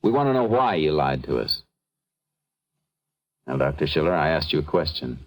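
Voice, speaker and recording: An actor playing a stern, businesslike American police detective in a vintage radio crime drama, terse, matter-of-fact and persistent. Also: male voice, rumbling low, big pitch swings, moderate pace, no echo, rough recording.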